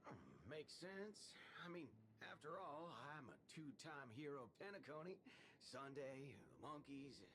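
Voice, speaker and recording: An actor playing a brash, teasing cyborg cowboy outlaw in a video game, with a drawl and folksy mock swearing. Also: male voice, fairly high pitch, lively intonation, a little slow, a bit bright, a little hiss or hum. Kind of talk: video game character dialogue.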